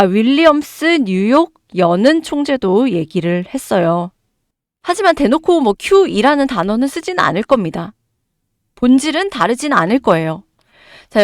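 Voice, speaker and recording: A woman giving emphatic stock market commentary to her viewers, hoarse from a cold. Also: fairly high pitch, no room sound, studio quality. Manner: emphatic, addresses viewers directly, rhetorical questions.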